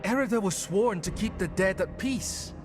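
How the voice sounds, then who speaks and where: An actor playing an earnest, troubled young scholar in a video game, solemn and hesitant. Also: male voice, sits high, normal speed, over music, close to an everyday voice. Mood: puzzled, grave. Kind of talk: dramatic video game acting.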